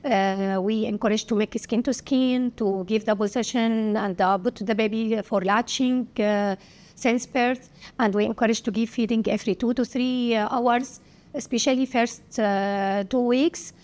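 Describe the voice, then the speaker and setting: A woman from Syria with an Arabic accent, a pediatrician answering interview questions haltingly, with many pauses and filler sounds. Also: a little high, steady tone, darkish tone. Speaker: pediatrician guest.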